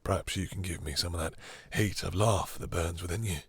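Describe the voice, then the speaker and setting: A man playing a possessive, domineering vampire master in an intimate roleplay audio drama, with slow, theatrical, condescending menace and a breathy, seductive purr. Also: sits low, steady tone, a bit bright, no echo, exaggerated voice. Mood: seductive, hungry.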